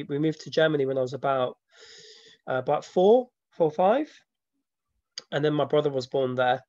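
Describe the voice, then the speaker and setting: A dry, slightly muffled recording of a man. He talks about himself informally, as an interview guest. His delivery is reflective and halting, at a middling pitch. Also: a little mellow, no room sound. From born in Slovakia, grew up from age seven in London. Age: 30s. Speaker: interviewee, film maker and photographer. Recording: dull lo-fi audio, dry.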